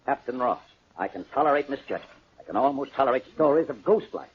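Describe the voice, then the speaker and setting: An irate shipping magnate who believes nobody. He talks fast and husky with lively, swooping emphasis, blustering through an old-time radio drama. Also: mid-range voice, dull audio. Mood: irate, incredulous. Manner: blustering, incredulous. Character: irate, skeptical male shipping-company owner.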